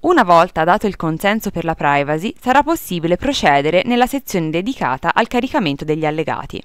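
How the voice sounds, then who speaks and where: Female tutorial narrator, formal scripted read, lowish and lively intonation, dry close-mic sound. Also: fast talker, smooth, no room sound. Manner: formal, procedural.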